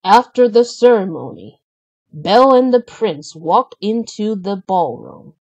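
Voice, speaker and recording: Woman, children's storybook narrator, unhurried, mellow, expressive, close dry recording. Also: medium pitch, no room sound, highs cut off.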